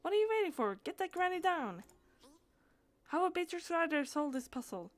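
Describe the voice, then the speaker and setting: Woman game streamer, halting delivery. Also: very high voice, sing-song. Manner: halting reading with stumbles and repeats. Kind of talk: reading game dialogue aloud and chatting.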